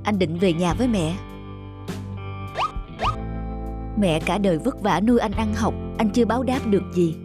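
A woman voicing a gentle, earnest and devoted young man, a boyfriend in a cartoon. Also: mid-range voice, fast talker, music in the background, lightly acted. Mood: earnest, regretful. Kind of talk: cartoon dubbing.

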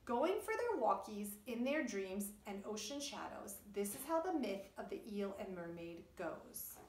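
A middle-aged woman, a preschool teacher reading aloud and explaining things to young children in an animated way. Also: medium pitch, a little slow, slight background noise. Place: indoors, wood-panelled room by a puppet stage. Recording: roomy echo, distant microphone.

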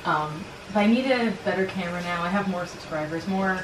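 A woman's voice: fairly low pitch, fairly quick, noisy background. A woman in her twenties chatting casually to camera for a vlog, with a playful, dryly humorous manner. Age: 20s. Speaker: vlogger. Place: indoors, small bare-walled apartment rooms. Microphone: camera's built-in microphone, a short distance away. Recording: roomy echo from bare rooms, music underneath.

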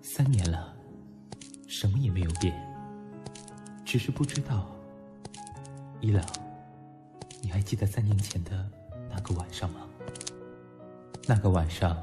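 A man performs a young male student in an audio drama, nostalgic yet proud and teasing. His low voice moves expressively between reflective narration and dialogue. Music plays clearly behind him. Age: young adult. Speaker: lead actor and first-person narrator.

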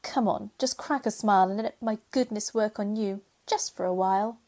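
A woman playing a bright, bossy good spirit in a radio pantomime, speaking in cheeky rhyme to the audience. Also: medium pitch, moderate pace, natural voice. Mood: teasing. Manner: cheeky, rhyming, addressing the audience. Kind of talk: pantomime radio play narration and acting.

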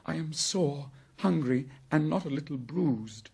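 Guy doing little jungle boy from a storybook, high voice, plucky n earnest, old-timey words. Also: animated, unhurried, gravelly, darkish tone, breathy edge, heavily acted. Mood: sore, concerned. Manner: archaic, earnest speech.

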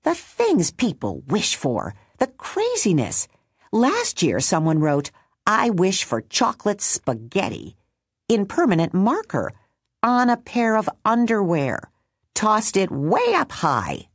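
A woman's voice: medium pitch, huge pitch swings, slow pace, slightly rough, no room sound, studio quality, pitched above the performer's own voice, heavily acted. A woman voicing a stern, tired older woman who speaks bluntly. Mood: exasperated.

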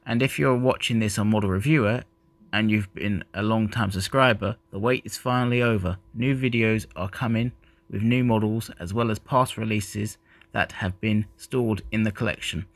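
Gravelly non-native English-speaking man. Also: on the low side, steady tone, normal speed, no echo. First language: not English. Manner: chatty, rambling, self-correcting. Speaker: model train reviewer. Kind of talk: casual unboxing narration.

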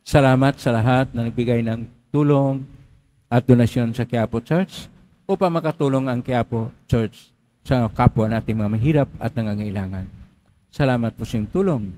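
A man's voice: mid-range voice, moderate pace, mellow, slight background noise. A man, a priest leading Mass prayers and giving thanks and a blessing in a solemn, intoning manner.

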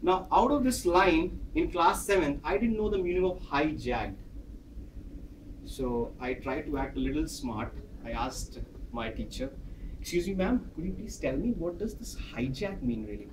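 A man in his thirties with an Indian English accent, a Hindi speaker, giving an animated prepared speech and acting out dialogue while pacing a large office training room. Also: fairly high pitch, normal speed, noisy background. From northern India. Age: 30s. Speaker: speaker at a club meeting. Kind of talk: prepared personal speech with storytelling. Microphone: far camera. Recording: echoey room, far from the mic.

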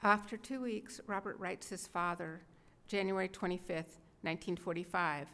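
A woman's voice: on the low side, fairly even intonation, moderate pace, bright, forceful tone. A middle-aged woman giving scripted narration at a podium microphone. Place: indoor museum gallery at a podium.

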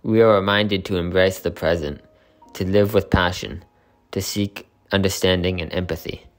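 Deep-voiced man narrating an essay. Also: fairly even intonation, normal speed, soft background music. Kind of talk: scripted narration.

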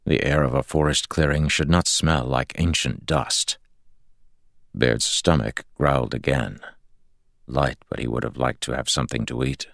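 A man's voice: extremely low pitch, steady tone, a little slow, gravelly, fairly bright tone, dry recording, studio quality. A man reading fantasy fiction as an audiobook narrator, in a scripted storytelling style.

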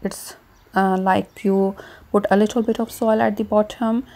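A woman host calmly explaining her gardening work, speaking outdoors on a garden patio while she transplants seedlings. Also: medium pitch, normal speed. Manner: calm, even, explanatory. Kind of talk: casual gardening tutorial narration.

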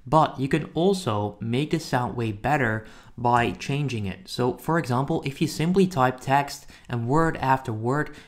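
A man hosts a relaxed software walkthrough for online viewers. His voice sits at an average pitch, and he talks informally, as if chatting to the people watching. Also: unhurried. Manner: informal, addresses viewers as guys. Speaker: software reviewer and tutorial host. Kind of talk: casual screen-recording walkthrough.

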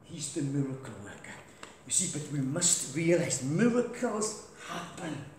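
A middle-aged man with a British accent preaching a sermon loudly with stories as he moves around a large church hall, recorded from a distance by a camera. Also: a little high, expressive, measured pace. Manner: loud crusade-style preaching, walks about. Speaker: guest preacher. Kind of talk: sermon with anecdotes. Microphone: far camera, no mic. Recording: echoey church hall, faint noise.

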